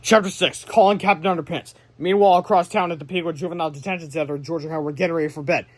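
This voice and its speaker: A man narrating a children's book aloud in an animated way, stumbling and correcting himself now and then. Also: fairly high pitch, rapid-fire, no echo. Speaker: storybook reader.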